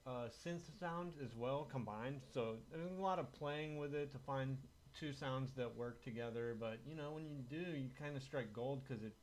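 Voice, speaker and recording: A man with an average-pitched voice gives an informal music tech demo. He talks in loose, laid-back bro slang with casual swearing, like a guitarist walking a friend through his sounds. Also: moderate pace, few pauses, slight background noise.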